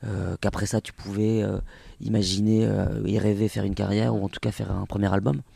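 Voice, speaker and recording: A man's voice: on the low side, level tone, brisk, vocal fry, dark tone, breathy edge, no echo. A man in his forties from the French Basque Country, a Basque native speaker, talking casually as an interview guest with many hesitations and restarts. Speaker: singer and actor guest. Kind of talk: relaxed interview talk.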